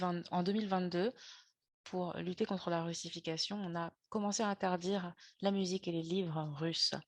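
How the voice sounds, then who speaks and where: A woman presenting in a webinar over a video call, speaking haltingly with frequent fillers and self-corrections. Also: fairly low pitch, no echo. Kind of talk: spoken lecture in a webinar.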